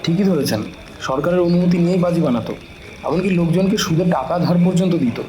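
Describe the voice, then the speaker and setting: A man's voice: fairly high pitch, fairly quick, dark tone, natural voice. A man playing a talkative, deferential police officer in an audio drama, explaining things respectfully and knowingly to a superior. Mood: informative. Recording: echoey room, clear background noise.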